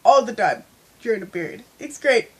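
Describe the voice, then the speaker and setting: A woman's voice: fairly high pitch, big pitch swings, normal speed, husky. A young woman vlogging from her bed on a handheld phone, talking candidly with lots of fillers, stuffy from a head cold. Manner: chatty and candid, with frequent fillers. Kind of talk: casual storytime vlog. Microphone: handheld phone at arm's length.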